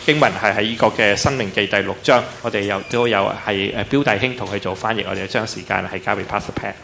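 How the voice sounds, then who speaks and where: A male service leader with a Cantonese accent introduces the preacher and the interpreter. His low, gravelly voice moves quickly through the announcement, with faint church ambience behind it. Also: noisy background.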